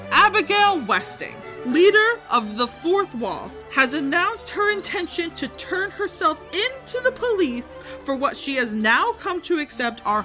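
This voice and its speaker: An actress plays a self-important female podcaster reporting live in an audio drama. Her high voice is animated and earnest, with music behind her. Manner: pompous, earnest.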